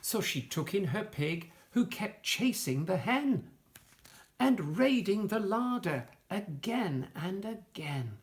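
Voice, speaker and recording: A woman reading a rhyming picture book to young children, with sing-song emphasis and gentle asides. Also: mid-range voice, sing-song, takes it slowly. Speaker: storyteller for children.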